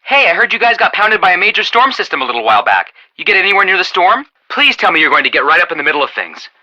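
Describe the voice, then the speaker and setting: Very bright, excitable young male sleuth. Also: high-pitched, fast, muffled recording, full character voice. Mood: excited. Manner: chatty, joking, emphatic. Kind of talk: animated video game dialogue.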